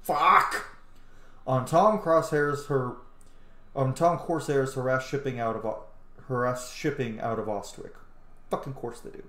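A man in his thirties doing excitable, casual game commentary and reading game text aloud, swearing freely. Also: mid-range voice, measured pace. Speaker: streamer playing a video game. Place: small indoor room. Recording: roomy, echoey home recording.

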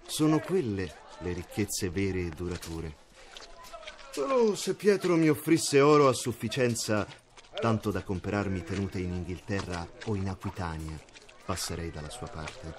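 An actor playing a cynical, chuckling mercenary captain in a radio drama. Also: male voice, low-pitched, sing-song, unhurried, a crowd in the background. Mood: sly. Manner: jovial, sly, chuckling. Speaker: mercenary leader.

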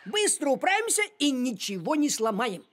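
A man voicing a bossy, thrifty, grumbling talking cat with a soft heart in an animated cartoon. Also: very high-pitched, normal speed, background noise. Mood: brisk, dismissive. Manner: grumbling, theatrical scolding.

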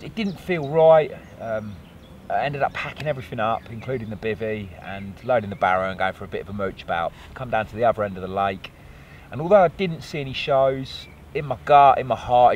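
A chatty angler in his forties talks to camera from the lakeside, self-mocking and easygoing. His voice sits at an ordinary pitch and sounds a little distant, with faint wind and outdoor noise around him. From England. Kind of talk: casual talk to camera. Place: outdoors by a lake, cold wind. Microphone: camera mic, from a few metres.

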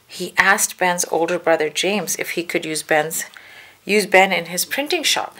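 A woman reads aloud to children from a storybook. Her voice sits a little low with a creaky edge, and she phrases each line in a measured, deliberate way. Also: a bit bright. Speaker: children's read-aloud narrator. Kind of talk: storybook narration.

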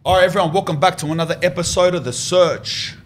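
A man hosting a podcast interview, chatting in a slangy, matey way with lots of quick "yeah"s. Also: high voice, slight background noise. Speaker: podcast host.

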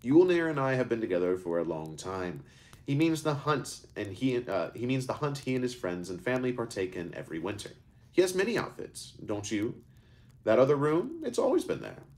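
A man voicing a kind, ancient woman shopkeeper who speaks gently and patiently. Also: mid-range voice, sing-song, brisk, voice pushed up. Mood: gentle.

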